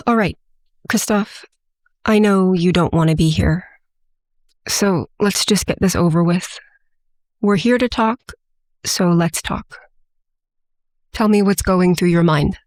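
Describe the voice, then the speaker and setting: A woman's voice: fairly low pitch, darkish tone, no echo. Formal female agent, dry close sound. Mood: weary patience. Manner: formal, measured, official. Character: businesslike, weary female agent interrogating a prisoner. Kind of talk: scripted audio drama interview.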